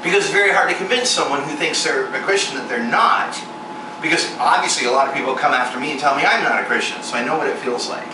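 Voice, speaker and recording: A man's voice: fast talker, recorded from a distance. Candid man preaching in an echoey hall. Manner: emphatic, candid, self-mocking, with sudden pitch jumps. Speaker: ministry performer speaking to a congregation. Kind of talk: personal testimony sermon. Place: large echoey venue room with air conditioning hum. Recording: echoey room recorded from afar with loud air-conditioner hiss.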